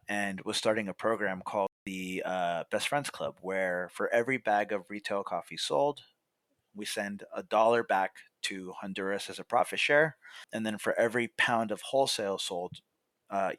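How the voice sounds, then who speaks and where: Young male podcast guest, easygoing storyteller with a lower voice, dry close-mic sound. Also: no echo. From California, USA. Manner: relaxed, many fillers like "you know" and "like". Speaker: podcast guest, coffee roaster. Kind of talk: casual storytelling.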